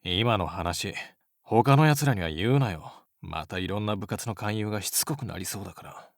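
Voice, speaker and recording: A man playing a cool, blunt, athletic teenage schoolboy in an anime-style voice drama, talking in curt, rough slang. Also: lowish voice, normal speed, no echo, studio quality, lightly acted. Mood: cool, matter-of-fact.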